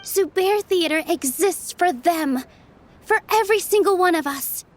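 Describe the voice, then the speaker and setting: A woman voicing a gentle, earnest young theater dancer in a video game, speaking politely. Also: very high-pitched, expressive, unhurried, slight background noise. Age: young adult.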